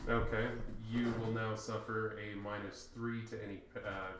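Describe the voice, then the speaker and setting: A man narrating scenes as game master of a tabletop game, describing them in a measured way with filler pauses. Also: on the low side, little pitch movement, a bit bright. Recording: very echoey room, faint noise.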